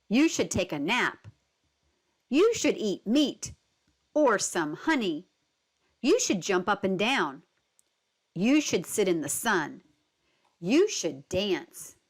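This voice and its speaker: A woman voicing eager, helpful jungle animals from a picture book in an encouraging, singsong manner. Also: medium pitch.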